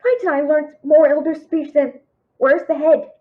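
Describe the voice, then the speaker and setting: A very high-pitched young female thief, acted by a woman in a fantasy audio drama. She is cheeky but scared, stammering as she tries to bluff and talk her way out of trouble. Her delivery is nervous and theatrical. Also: fairly even intonation, moderate pace, phone-quality sound, lightly acted. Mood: nervous, resigned. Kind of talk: audio roleplay acting, fantasy.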